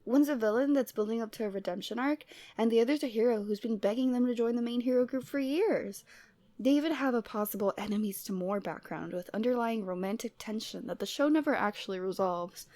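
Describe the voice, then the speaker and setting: A lovestruck Cupid girl acted by a woman talks to her best friend in a fairly high voice. She is conversational and openly emotional, in the style of an audio roleplay. The sound is very dry, with no room around it. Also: brisk, no echo, natural voice. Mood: teasing, chatty. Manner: conversational, teasing, emotionally expressive. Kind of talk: ASMR roleplay audio drama, addressing the listener.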